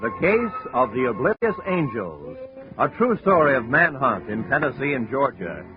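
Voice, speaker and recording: An American man working as a network radio announcer, with polished, emphatic 1950s delivery. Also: medium pitch. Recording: muffled 1950 radio recording, music behind.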